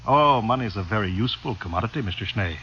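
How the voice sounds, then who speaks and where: Unctuous executive, animated and forceful. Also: male voice, lowish voice, quick pace. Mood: eager pitching. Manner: flattering, pompous, fussily polite. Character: unctuous, eager male corporate vice president and salesman. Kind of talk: 1950s radio drama acting. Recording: muffled, noisy 1950s radio recording.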